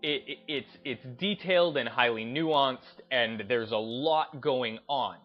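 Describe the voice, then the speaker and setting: A man giving off-the-cuff opinion commentary on a gaming channel, speaking in halting, emphatic short bursts. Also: medium pitch, highs cut off, faint music behind.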